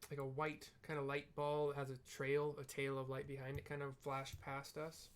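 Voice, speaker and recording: A man's voice: medium pitch, completely flat delivery, moderate pace, clear, resonant tone. A young man casually narrating a game cutscene in a rambling way, full of "like" and "kinda.". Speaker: let's play narrator.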